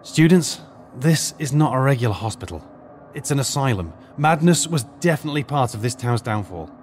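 An actor playing a middle-aged teacher, once an archaeologist, who leads his students with a steady, commanding voice. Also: medium pitch, fairly quick, a little hiss or hum, close to an everyday voice. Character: teacher Mr. Clark, retired archaeologist, calm leader. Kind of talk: audio drama acting.

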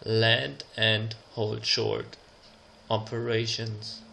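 A man narrating an instructional slide presentation as a tutor, reading out example phrases in a deliberate, measured way. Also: fairly low pitch, little pitch movement, vocal fry, bright, forceful tone, a little hiss or hum, low-quality recording.

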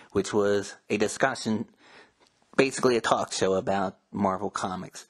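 Male host, low pitch, presenter-style narration. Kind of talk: scripted show narration.

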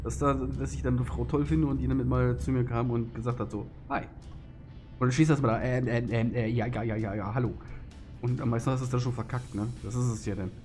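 A man's voice: medium pitch, steady tone, fairly quick, a little mellow, soft background music. A man giving rambling, filler-heavy gameplay commentary as a let's play host. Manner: chatty, filler-heavy, self-deprecating.

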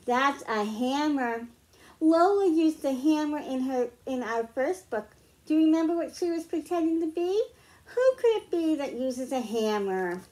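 A middle-aged woman reading picture books aloud to young children, explaining slowly and clearly at home. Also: high-pitched, lively intonation, a little mellow. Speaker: children's librarian. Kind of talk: storytime read-aloud for kids.

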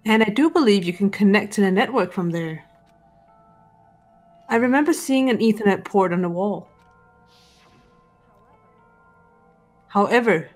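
A woman playing a composed, serious teenage girl in an anime game fan dub, speaking in a measured, deliberate way. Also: medium pitch, darkish tone, close to an everyday voice. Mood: calm, cautious. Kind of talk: visual novel fan dub voice acting.

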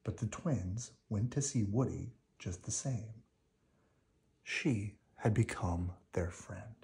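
A man narrating a children's picture book in an expressive read-aloud style. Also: on the low side, slow pace, creaky.

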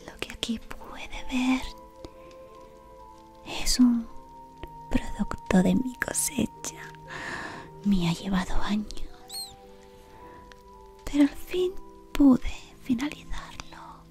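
A devoted, fawning servant of a dark lord, whispered by a young woman right up against the mic. The slow, hushed delivery still rises and dips in a lively way, deferential and eager to please. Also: fairly high pitch, soft background music, heavily acted. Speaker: ASMR roleplay creator. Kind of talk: whispered ASMR roleplay. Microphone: close sensitive mic at face level.

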